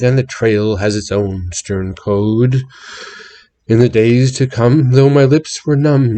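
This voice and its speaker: A man reads a narrative ballad aloud in a mellow, relaxed timbre. His pace is slow and deliberate, and his theatrical delivery swells and falls in intensity. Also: medium pitch. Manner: theatrical storytelling with big swells and drops in intensity. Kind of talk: dramatic poetry reading.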